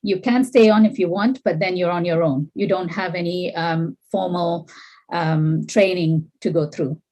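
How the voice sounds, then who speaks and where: A woman, an obstetrics doctor on a panel, explaining slowly with many pauses and ums. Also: fairly low pitch, fairly even intonation, mellow. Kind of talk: online panel discussion.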